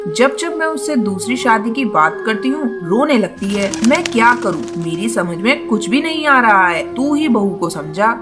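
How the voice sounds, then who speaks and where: A woman's voice: on the high side, big pitch swings, normal speed, hardly any pauses, over music. A woman voicing a worried older mother-in-law bird in a children's cartoon, speaking in a familiar, plaintive way. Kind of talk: Hindi children's moral-story cartoon dubbing.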